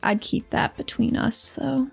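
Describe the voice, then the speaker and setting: A woman playing a dry, guarded, defiant female leader of mercenaries in a tabletop roleplaying game, speaking deliberately. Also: soft background music, close to an everyday voice.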